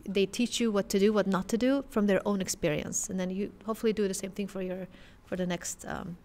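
A young woman with a mid-pitched voice talks close to a boom mic in a studio. She explains step by step, with the odd filler. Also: fairly even intonation, fairly quick, a little mellow. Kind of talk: casual conversation. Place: dark studio. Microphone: boom mic in front.

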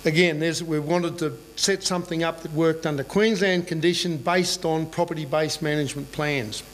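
A man's voice: on the high side, steady tone, normal speed. A middle-aged Australian man giving a conference presentation in a plain, conversational way, often checking in with the room. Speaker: conference speaker. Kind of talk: presentation talk. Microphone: boom mic on a lectern stand.

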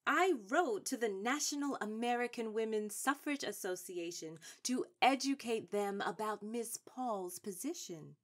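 A woman's voice: fairly high pitch, lively intonation, unhurried, hardly any pauses. Authoritative suffragette elder stateswoman. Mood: composed, resolute. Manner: formal, declarative, authoritative. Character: seasoned, proud, shrewd woman suffrage activist of the 1910s. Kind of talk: stage-trained radio drama acting.

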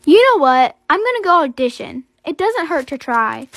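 A woman's voice: high voice, big pitch swings, moderate pace, a bit bright, dry recording, heavily acted. A woman voicing a put-upon but hopeful teenage girl, earnest and given to complaining. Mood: excited.

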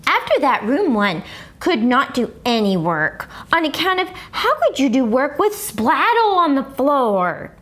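Woman performing a high, dramatic little first-grade girl. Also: sing-song, a little slow, a bit bright, full character voice. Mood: animated. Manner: childlike bad grammar, exaggerated emphasis. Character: excitable first-grade girl narrating her journal, blunt and dramatic. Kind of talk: expressive children's book read-aloud.

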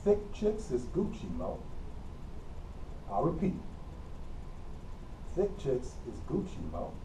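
A man's voice: mid-range voice, wildly swooping pitch, slow pace, dark tone. A middle-aged man with a Midwestern accent giving a college communication lecture in an empty classroom, explaining plainly and repeating key points. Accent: Midwestern American. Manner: plain, repetitive teacherly explaining with frequent coughs. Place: empty classroom with whiteboard and air conditioner. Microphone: camera mic from across the room. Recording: roomy, distant camera audio with faint hum.

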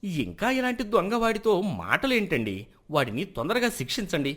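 An indignant wealthy merchant from a village moral tale, acted out by a man. His pitch is high and swings widely. He complains and insists impatiently. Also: wildly swooping pitch, normal speed, a bit bright, full character voice. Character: indignant wealthy village merchant demanding punishment, hiding guilt. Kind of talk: dramatised moral story acting.

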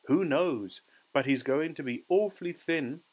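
An eccentric male chocolate maker from a children's story, as a man reads him. He exclaims excitably, then turns airy and matter-of-fact, untroubled by any mishap. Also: a little high, a little slow, pitched above the performer's own voice. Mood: unbothered.

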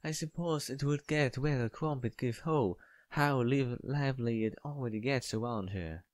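A man with a non-native English accent voicing a composed, mysterious young woman from a visual novel, in a measured, hesitant manner. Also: mid-range voice, darkish tone, no room sound, pitched above the performer's own voice, close to an everyday voice. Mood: mildly amused. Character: mysterious, composed young woman, oldest student, soft-spoken.

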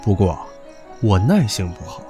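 Brief: a cocky, vulgar young man, low voice, very lively delivery, soft music behind. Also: a little slow. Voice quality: low, swaggering and crude. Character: brash, pushy young man, crude and teasing. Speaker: voice actor. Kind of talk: audio drama acting.

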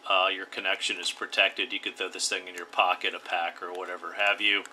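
Host, male, deep bright voice, plain step-by-step tutorial delivery, slight hiss behind. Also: level tone, fairly quick. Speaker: radio hobby channel host. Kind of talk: DIY tutorial voice-over.

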